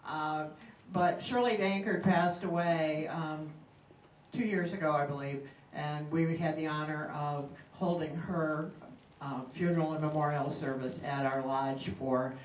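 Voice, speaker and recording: A woman giving an informal report at a club meeting, rambling with many fillers and pauses. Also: low-pitched, fairly even intonation, slight background noise. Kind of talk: casual spoken report. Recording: roomy hall, speaker far from mic.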